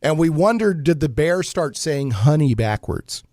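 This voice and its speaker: A man hosting a casual geek-news talk show, chatting in a jokey, animated way with playful emphasis. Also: on the high side, unhurried, dry recording, studio quality. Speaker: radio talk show host.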